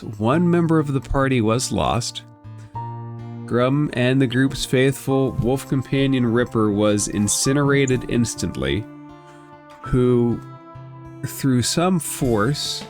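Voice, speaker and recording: A man narrates a tabletop fantasy game as its game master. His pace is slow and his pitch sits in the middle. Faint music plays behind him.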